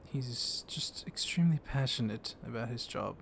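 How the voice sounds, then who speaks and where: A man playing a gently dominant, comforting vampire librarian in an intimate ASMR roleplay, speaking softly and haltingly, often slipping into a whisper. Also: mid-range voice, animated. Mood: teasing, confiding. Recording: clear storm noise behind the voice. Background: thunderstorm ambience.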